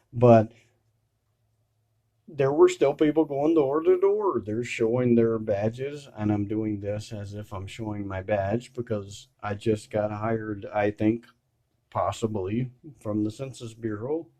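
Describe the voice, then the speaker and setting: A man's voice: on the low side, dark tone. A man talking casually and unscripted to viewers, rambling and hesitant, repeating and correcting himself, with some blunt language. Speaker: video creator.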